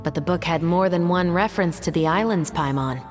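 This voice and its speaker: A woman playing a composed, serious investigator and patrol captain in a video game, speaking in a measured, articulate way. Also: on the low side, over music, natural voice. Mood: measured, thoughtful.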